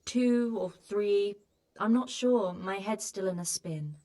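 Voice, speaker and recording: An actress playing a flustered peasant farm wife in a video game, dodging questions about a bandit raid. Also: female voice, medium pitch, takes it slowly, warm tone, natural voice. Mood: evasive. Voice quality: evasive, flustered. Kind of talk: video game cutscene acting.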